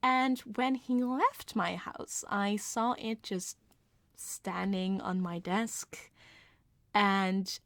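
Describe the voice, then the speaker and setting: A woman's voice: medium pitch, fairly even intonation, drawn-out words, fairly bright tone, no room sound. A woman telling casual, confessional personal anecdotes in an ASMR storytime style, with a light giggly manner.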